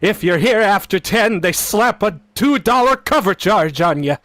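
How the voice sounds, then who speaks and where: An actor playing a soft-spoken, gloomy undertaker who delivers his puns in a slow, mournful deadpan, in the style of vintage radio comedy. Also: high voice, no echo.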